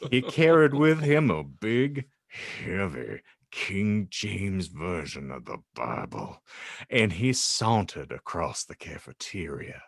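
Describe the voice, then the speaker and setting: A man hosting a comedy podcast, reading fan fiction aloud in a mock-dramatic, teasing, deliberate way. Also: deep voice, very animated, creaky, dry recording, studio quality. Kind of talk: mock-dramatic audiobook narration with joking banter.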